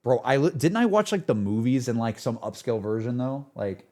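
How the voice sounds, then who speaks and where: A man's voice: mid-range voice, very animated, brisk, dark tone. A man livestreaming in a casual, slangy, repetitive way, talking to his chat and reacting off the cuff. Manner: slangy, repetitive, full of "like", "bro" and "dude". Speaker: streamer.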